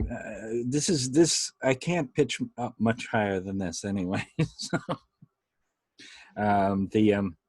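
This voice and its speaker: Slow, halting bass-voiced man. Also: a little mellow, dry recording. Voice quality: deep bass voice. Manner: halting, many fillers and restarts, laughs often. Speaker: podcast host. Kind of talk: casual interview chat.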